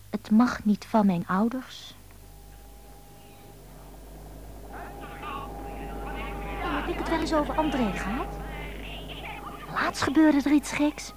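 A high-pitched teenage schoolgirl, confiding and impulsive. She swings between defiance and tenderness in intimate radio-play phone monologues, lonely underneath it all. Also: slight background noise. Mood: chatty. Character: lonely, moody seventeen-year-old schoolgirl confiding by phone.

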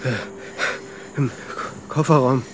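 A man's voice: mid-range voice, fairly even intonation, unhurried, a little hiss or hum, natural voice. An actor playing a tipsy, nosy man at the wheel in a radio drama, hesitant and muttering. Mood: feeble, frightened. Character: tipsy, nosy male driver, weak and wavering.

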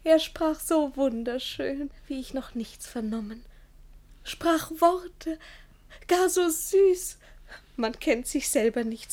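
A woman's voice: high-pitched, a little mellow. An actress playing a naive, innocent young woman who tells her story in rhymed verse with artless eagerness, in a classic comedy radio play. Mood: dreamy delight.

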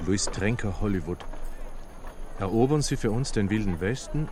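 An actor playing an enthusiastic filmmaker, telling stories and reading aloud in a German radio play. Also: male voice, lowish voice, expressive, moderate pace, background noise, natural voice. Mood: declaiming. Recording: music and outdoor sounds behind voice.

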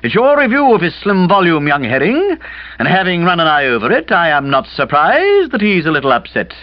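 A man voicing a forceful older aunt, who speaks loudly and emphatically. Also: a little high, huge pitch swings, fairly quick, no room sound, muffled recording, voice pushed up. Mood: brisk. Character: brisk, forceful older aunt, bossy and hearty.

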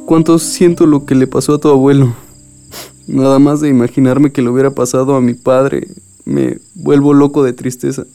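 A man's voice: medium pitch, little pitch movement, normal speed, vocal fry, mellow, clear, resonant tone, soft background music, natural voice. An actor playing a remorseful young man in love in a radio drama. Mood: remorseful, grieving. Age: young adult.

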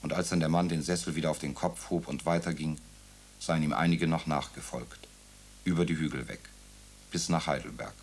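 A man narrating a radio drama in a calm, measured way. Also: extremely low pitch. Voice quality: gravelly.

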